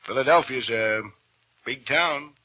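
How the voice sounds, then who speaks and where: An actor playing a folksy, wily small-town baseball manager in an old radio drama, husky and colloquial, with American speech. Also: male voice, mid-range voice, unhurried, close to an everyday voice. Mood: gently generous. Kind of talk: old-time American radio acting, first-person narration. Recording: dull vintage radio broadcast.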